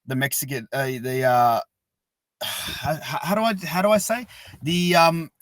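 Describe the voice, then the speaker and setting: A man's voice: a little high, expressive, a little slow, fairly bright tone, no room sound. An Australian man chatting casually and enthusiastically as a podcast host. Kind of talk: casual interview chat.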